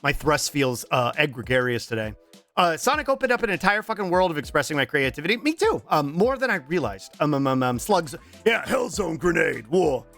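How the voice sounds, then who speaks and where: A game streamer in his thirties with a somewhat high, bright, animated voice that swings widely in pitch. He chats casually with viewers, fills the gaps with long runs of drawn-out ums and swears freely. His voice is strained and cracking from allergies. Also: gravelly, soft background music. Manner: long strings of drawn-out filler ums, profane and joking. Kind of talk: casual chat reading out viewer messages.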